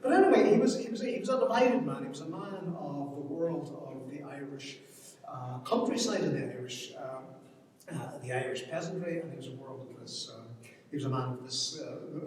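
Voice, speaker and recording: Halting male lecturer in a very echoey hall. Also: mid-range voice, a little mellow, a little hiss or hum. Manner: halting, hesitant, frequently repeating words while thinking aloud. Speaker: panel speaker on literature. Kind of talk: informal literary lecture, speaking off the cuff. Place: large reverberant indoor event space. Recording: distant, very echoey hall with long reverb.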